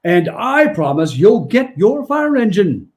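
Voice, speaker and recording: A man's voice: sits high, sing-song, unhurried. A man playing a kindly, jolly old Santa Claus with a genial, courtly manner in a 1940s-style radio play.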